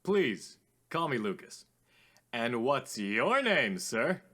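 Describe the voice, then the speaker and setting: A man playing a courteous, theatrical radio call-in host with formal, drawn-out greetings in a radio drama. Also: medium pitch, very animated, sharp tone, full character voice. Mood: polite, theatrical.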